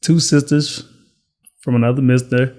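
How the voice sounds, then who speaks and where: A man from Dallas and Chicago, a retired boxer, relaxed as he tells stories in a podcast interview. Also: mid-range voice, moderate pace. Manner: relaxed, easygoing storytelling with laughs. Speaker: guest, business owner and retired boxer. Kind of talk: casual interview storytelling.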